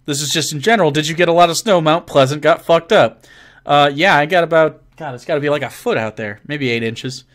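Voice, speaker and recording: A young man hosts a live stream in a loose, rambling way, reading viewer messages aloud and answering them. His voice sits a bit higher than most men's. He swears freely and jokes between answers. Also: a little fast, fairly bright tone. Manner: profane, joking, rambling; reads donations and chat messages aloud. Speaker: game master answering viewer questions. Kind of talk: casual live-stream chat.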